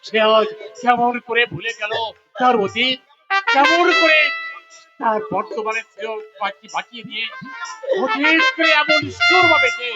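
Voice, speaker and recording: Melodrama role: a grieving, wronged elderly father, rhetorical lament in an extremely high register over loud music. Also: unhurried. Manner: declamatory, rhetorical, sorrowful. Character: heartbroken old father, wounded, bitter, lamenting. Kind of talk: melodramatic stage drama acting. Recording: dull lo-fi drama recording, loud music.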